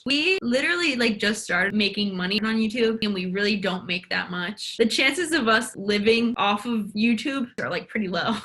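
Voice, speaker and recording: A woman's voice: medium pitch, lively intonation, moderate pace, bright, forceful tone. A woman in her early twenties co-hosting a casual Q&A video, giggly and full of "like". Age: early 20s. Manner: giggly, heavy use of "like". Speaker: YouTube co-host. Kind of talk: casual Q&A chat.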